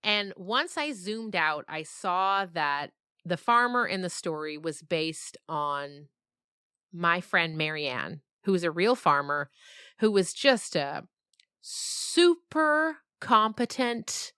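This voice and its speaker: A woman with a bright, forward voice talks as a novelist in a casual interview. Her pitch sits a little low, and she rambles through anecdotes with animated emphasis. The sound is dry and close, with little room echo. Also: takes it slowly, smooth.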